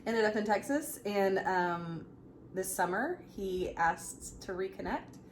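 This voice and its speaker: A woman in her thirties telling a personal story in a casual, chatty, animated way. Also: medium pitch, slow pace. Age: 30s. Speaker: vlog co-host. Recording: clearly noisy room ambience.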